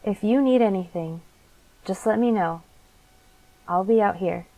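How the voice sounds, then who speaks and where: An actress playing a gentle, apologetic woman watching over a detained suspect in an audio drama. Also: mid-range voice, unhurried, lightly acted, poor audio. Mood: sympathetic, apologetic.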